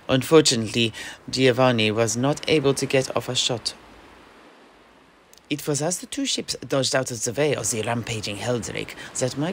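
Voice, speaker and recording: A man playing a mercenary knight who recounts his grandfather's sea adventure in emphatic, slightly halting narration for a fantasy audio play, with faint ambience behind. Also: medium pitch, a bit bright, slight background noise. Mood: eager recounting. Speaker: storyteller.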